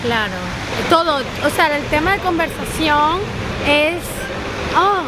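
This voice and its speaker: A woman from Venezuela, chatting in a lively way outdoors on a city street and mixing in English words. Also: high voice, slow pace. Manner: animated, mixes in English words. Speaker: co-host. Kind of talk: casual chat walking outdoors. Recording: loud street noise behind.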